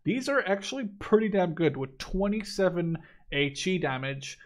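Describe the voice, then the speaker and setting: A man's voice: sits high, sing-song, moderate pace. A man in his twenties from the Netherlands giving casual, opinionated gameplay commentary in an informal, blunt way. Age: 20s. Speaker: gaming YouTuber reviewing a game.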